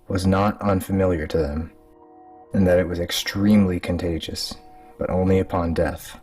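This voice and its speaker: A man's voice: low-pitched, a little mellow. A man reading a scripted horror story as a dramatic narrator. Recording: distant mic with music underneath. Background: music.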